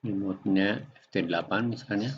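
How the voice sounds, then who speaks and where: A man giving an unscripted software tutorial, explaining step by step in a halting way. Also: on the low side, a little hiss or hum. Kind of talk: unscripted screen-recording tutorial. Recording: dull lo-fi home recording.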